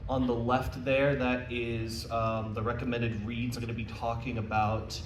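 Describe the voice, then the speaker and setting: A man's voice: mid-range voice, flat delivery, a little slow. American male panel presenter, informal and jokey; echoey room recording with faint background noise. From United States. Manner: casual, self-deprecating, jokey asides. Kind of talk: informal presentation to a live audience. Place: indoor convention panel room. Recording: roomy, distant mic, faint noise.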